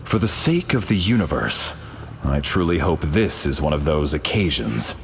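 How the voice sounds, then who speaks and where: Deep-voiced noble cosmic champion. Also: male voice, very animated, slight background noise, exaggerated voice. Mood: solemn. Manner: formal, lofty, measured phrasing. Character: solemn, noble cosmic champion, formal and grandiose. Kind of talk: video game character acting. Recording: long reverb, music behind.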